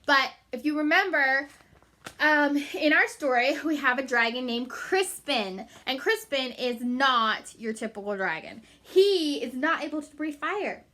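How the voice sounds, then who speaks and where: A woman reading a story aloud to children, emphatic and animated, with chatty asides. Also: high-pitched, unhurried. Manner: animated, emphatic, addressing young listeners.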